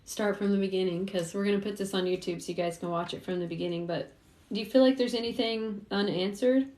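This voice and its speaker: A woman with a middle-range voice hosts a casual livestream. She talks informally and directly to her viewers, taking questions in a chatty way. The room adds a clear echo. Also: mid-range voice, fairly even intonation, fast, darkish tone. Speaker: host, private investigator. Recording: roomy echo.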